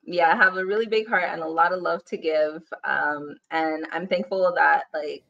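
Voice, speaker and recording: A woman's voice: low-pitched, fairly even intonation, normal speed, vocal fry, fairly bright tone, muffled recording. A young woman with a Guyanese accent, chatting as a podcast guest over a video call, laughing easily and rambling with fillers. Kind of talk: casual interview chat. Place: home room, indoors.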